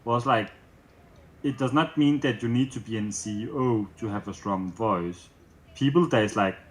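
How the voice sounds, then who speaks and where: A man in a casual group chat, talking haltingly with many fillers and non-native phrasing. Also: mid-range voice, a bit bright, low-quality recording.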